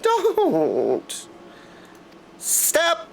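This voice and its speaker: A man giving casual, excitable, jokey live commentary as he streams a game. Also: very high voice, very slow, creaky, slight background noise. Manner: excitable, jokey, bursts of exclamation.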